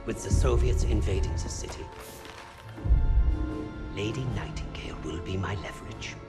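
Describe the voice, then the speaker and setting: A man playing a calculating Nazi officer in a war game, speaking in a halting, deliberate, dramatic way. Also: on the low side, close to an everyday voice. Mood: cold, scheming. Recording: loud ominous music behind the voice.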